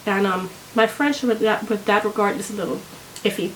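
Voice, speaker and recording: A sick, congested woman with a nasal voice, chatting casually to her viewers about her knitting, rambling and hesitant with lots of pauses. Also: mid-range voice, fairly even intonation, a little hiss or hum, low-quality recording. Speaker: knitting vlogger. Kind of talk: casual chat to camera.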